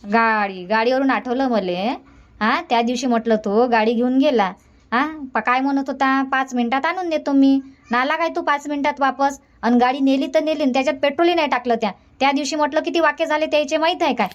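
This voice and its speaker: A woman's voice: sits high, brisk, muffled recording, natural voice. A woman voicing a middle-aged village aunt with a Vidarbha Marathi accent, who lectures and scolds in long run-on stretches. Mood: scolding.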